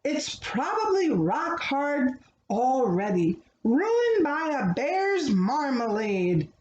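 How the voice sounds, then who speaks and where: A woman's voice: high-pitched, big pitch swings, takes it slowly, a little mellow, echoey room, muffled recording, slight background noise, exaggerated voice. A woman voicing an urgent, easily dismayed male building foreman in a children's storybook. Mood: wailing. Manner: urgent, wailing, questioning.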